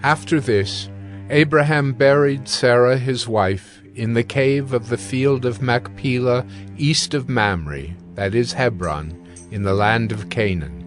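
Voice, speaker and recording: Mid-pitched male scripture narrator over music. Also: a little slow.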